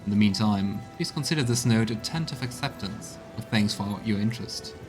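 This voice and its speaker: A man's voice: lowish voice, brisk, music in the background. A man streaming a game, reading texts aloud and musing on them in gameplay commentary. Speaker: let's play streamer.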